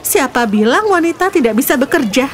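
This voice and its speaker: A woman's voice: sits high, animated, brisk, voice pushed up. A woman voicing a brave, polite young heroine in a fairy tale, earnest and respectful. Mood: bold.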